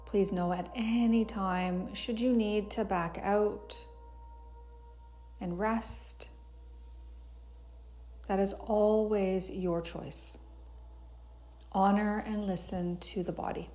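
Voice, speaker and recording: A woman guiding a yoga meditation in a soothing, gentle, unhurried way, as a teacher giving calm instructions. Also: medium pitch, soft background music. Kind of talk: guided meditation narration.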